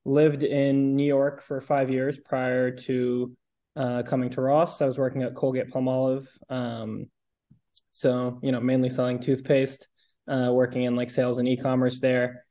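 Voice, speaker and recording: A man's voice: medium pitch, level tone, a little slow, warm tone. A young man from Connecticut, a podcast guest speaking casually and hesitantly with many uh fillers. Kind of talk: casual interview chat.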